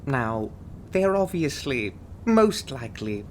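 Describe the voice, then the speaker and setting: A man's voice: mid-range voice, big pitch swings, slow pace, a little mellow, a little hiss or hum. A man playing a chirpy, breezily menacing recruiter in an ASMR roleplay, glibly cheerful about grim things. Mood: breezy, reassuring. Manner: cheerful, glib delivery of grim threats.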